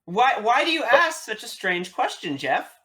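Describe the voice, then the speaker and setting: A man's voice: very high-pitched, big pitch swings, a little slow, bright, forceful tone, full character voice. A man voicing a flustered party host who keeps over-explaining things.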